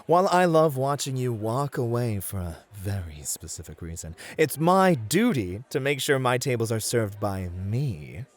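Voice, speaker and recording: A man playing a courteous, playful bunny-club host in a flirty ASMR roleplay, with gentlemanly phrasing. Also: mid-range voice, sing-song, moderate pace, hardly any pauses. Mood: smooth, flirty.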